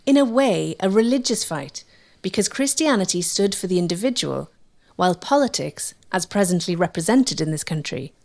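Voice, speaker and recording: Woman narrator for a documentary, normal pitch, reading a script.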